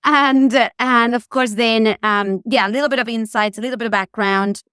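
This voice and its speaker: Chilean-accented woman presenter, enthusiastic webinar delivery, smooth and dry. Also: fairly high pitch, steady tone, moderate pace, sharp tone, no room sound. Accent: Chilean Spanish. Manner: enthusiastic, many fillers. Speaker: tourism sustainability presenter. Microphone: video call.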